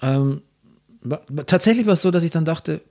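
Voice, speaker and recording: A man's voice: fairly high pitch, a little fast, creaky, a little mellow. A man from Bavaria with a Bavarian accent, telling stories in casual conversation with frequent restarts. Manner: chatty storyteller, frequent restarts.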